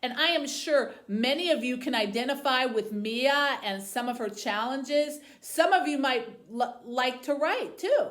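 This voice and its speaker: A woman with a high voice works as a library host for young viewers. Her pitch moves up and down in an expressive storytelling style. The room adds noticeable echo around her words. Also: measured pace, hardly any pauses. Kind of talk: reading a children's novel aloud, then addressing young viewers. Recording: roomy, echoey indoor sound.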